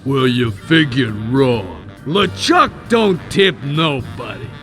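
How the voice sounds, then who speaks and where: A man voicing a scheming, surly pirate henchman in a video game, gruff and dismissive with a theatrical delivery. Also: medium pitch, big pitch swings, slow pace, fairly bright tone, soft background music.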